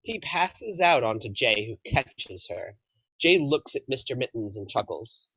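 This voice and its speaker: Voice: medium pitch, very animated, unhurried, a bit bright, breathy edge, no room sound. A narrator reading out stage directions from a film script at a table read. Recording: dry but dull, muffled audio.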